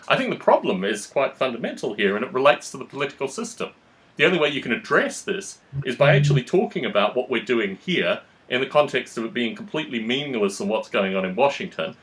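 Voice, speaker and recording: A man speaks at an average male pitch as he lays out his opinions in a political discussion. He hosts the talk and phrases things formally and deliberately. His sentences run long and wordy. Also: fairly bright tone, rough recording. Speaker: podcast host.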